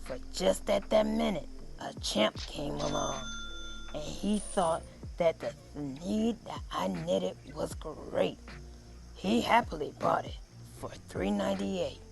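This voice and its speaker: A storybook reader narrating for children in a theatrical, deliberate way with drawn-out phrasing. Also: medium pitch, expressive, gravelly, music in the background. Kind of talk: dramatic children's read-aloud narration.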